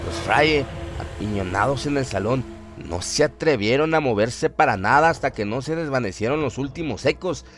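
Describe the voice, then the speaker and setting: Male narrator for radio horror: mid-pitched, solemn and suspenseful, dramatic literary reading with music underneath. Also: lively intonation, normal speed, hardly any pauses. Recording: music behind the voice.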